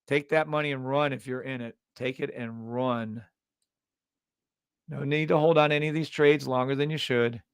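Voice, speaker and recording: A middle-aged man runs a live trading stream, barking out orders in short bursts and swearing freely. His voice is gravelly but smooth and resonant. The sound is dry and close, with no room echo. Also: medium pitch, steady tone, normal speed. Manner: brash, profane, calls out orders in bursts. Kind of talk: live trading commentary with viewers.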